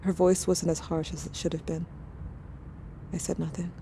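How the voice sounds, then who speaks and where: Mellow, sardonic teenage girl. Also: on the low side, flat delivery, normal speed, gravelly, a little hiss or hum. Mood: hurt, tense. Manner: sardonic, confessional, bluntly informal. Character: angry, grieving teenage girl writing to her dead sibling. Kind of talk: audio drama diary-letter narration.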